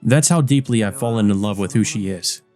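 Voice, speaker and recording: Man reading as an earnest, mellow-voiced teenage boy hero. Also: lowish voice, fairly quick, soft background music, close to an everyday voice. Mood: resolute. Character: earnest, resolute teenage boy in love, visual novel hero. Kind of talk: visual novel character reading.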